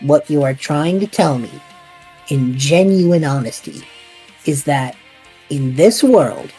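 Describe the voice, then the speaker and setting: A bewildered young traveler, voiced by a woman talks in a husky, fairly low voice. The sarcasm swings widely in pitch. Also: lively intonation, slow pace, warm tone, soft background music, exaggerated voice, rough recording. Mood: incredulous. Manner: sarcastic, incredulous. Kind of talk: fan audio drama acting.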